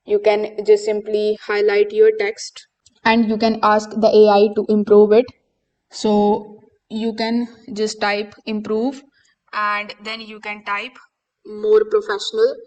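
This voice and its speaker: Female tutorial narrator, flat and mellow delivery, patient step-by-step explanation. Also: medium pitch, rough recording. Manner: steady explanatory instruction with occasional fillers. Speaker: software tutorial presenter. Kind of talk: screen-recorded software walkthrough.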